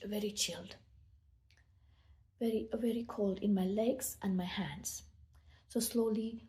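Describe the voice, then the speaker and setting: Mellow-voiced Indian woman telling her story. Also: medium pitch. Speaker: meditation speaker. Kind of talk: personal storytelling talk.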